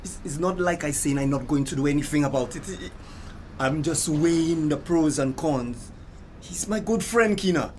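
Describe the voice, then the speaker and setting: A man's voice: on the high side, moderate pace, darkish tone, a little hiss or hum, natural voice. Man acting a hesitant, defensive Caribbean policeman. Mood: placating, torn. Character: evasive adult policeman, a conflicted family friend. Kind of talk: TV drama dialogue. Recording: music clearly behind the voice.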